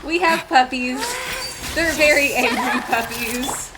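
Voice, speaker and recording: A woman's voice: sits high, slow pace, very noisy. A woman giving playful, chatty, casual live commentary as a game streamer. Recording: loud game music behind voice. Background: game music and sound effects.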